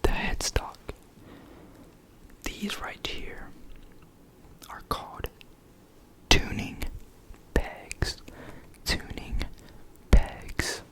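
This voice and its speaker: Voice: extremely slow, pauses often. A person whispering an informal ASMR guitar lesson, hesitating and repeating key words. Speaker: guitar explainer.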